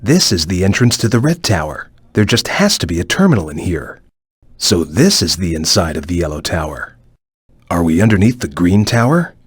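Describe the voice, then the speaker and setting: Low, husky, watchful game ninja. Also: male voice, very animated, no echo, studio quality, full character voice. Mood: cautious, instructive. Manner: measured, formal, serious and advisory. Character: stern, watchful cartoon ninja guide, calm and cautious. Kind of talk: video game voice acting, short hint lines.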